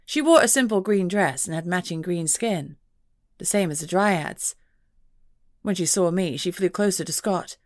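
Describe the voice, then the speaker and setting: A woman's voice: lowish voice, moderate pace, no echo, clean studio sound. A woman narrating a first-person fantasy audiobook from a script.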